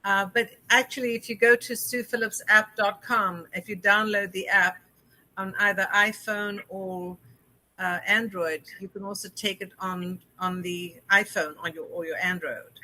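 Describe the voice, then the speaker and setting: A woman's voice: medium pitch, fairly even intonation, normal speed, sharp tone, low-quality recording. A woman from South Africa hosting a call-in interview show, chatty and effusive with lots of fillers. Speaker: host.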